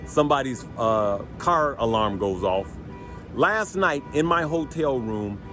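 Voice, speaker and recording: A man with a deep voice hosting a stock trading channel, giving casual advice and driving his points home by repeating them and checking in with "right?". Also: lively intonation, a little slow, music in the background. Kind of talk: casual finance advice to viewers.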